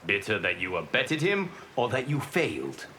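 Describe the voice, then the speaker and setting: An actor playing a solemn, wise god of time in a video game, speaking in a measured, formal way. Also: male voice, on the low side, sing-song, pushed and bright, slight background noise. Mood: stern, solemn. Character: solemn, wise male god who keeps time, patient. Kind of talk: video game fight dialogue.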